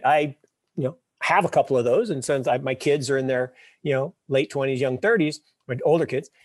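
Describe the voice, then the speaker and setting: A man in his fifties, a podcast guest, telling wry stories and explaining ideas with emphatic, animated delivery. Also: a little high, no room sound, studio quality. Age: 50s. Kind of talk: casual interview talk.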